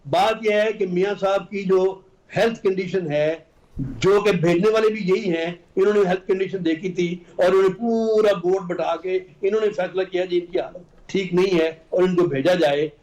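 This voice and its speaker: Insistent high-voiced Pakistani man. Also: moderate pace, darkish tone, poor audio. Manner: insistent, argumentative, talks over interruptions. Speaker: senator, political guest. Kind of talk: political debate.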